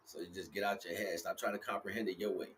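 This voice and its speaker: Man, low voice, casual spiritual livestream talk, repetitive phrasing, frequent check-ins, outdoors with faint background noise. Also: steady tone, fast, fairly bright tone. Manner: repeats himself with frequent conversational check-ins.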